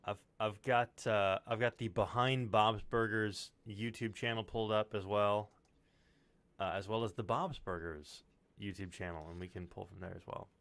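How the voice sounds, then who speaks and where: Man, co-host, laid-back, reassuring, low voice, dry sound. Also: fairly even intonation, moderate pace, fairly bright tone, no room sound. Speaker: co-host running the stream. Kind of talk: casual live-stream chat.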